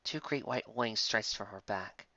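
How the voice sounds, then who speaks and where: Bright, forceful male story narrator. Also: fairly low pitch, a little slow, no echo, lo-fi sound. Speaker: fan fiction reader. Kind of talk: dramatic scripted story narration.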